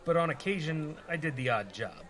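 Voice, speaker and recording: An actor playing an evasive, pragmatic rogue in a comedy radio play, exasperated and reluctant. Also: male voice, fairly high pitch, lively intonation, fairly quick, a bit bright, a little hiss or hum. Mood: defensive.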